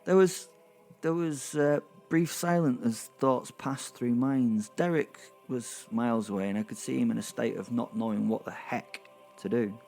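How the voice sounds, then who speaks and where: A man's voice: medium pitch, normal speed, vocal fry, darkish tone, faint music behind. A man narrating a dramatic story in the first person, conversational and wry, with rambling, chatty asides. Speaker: narrator and host.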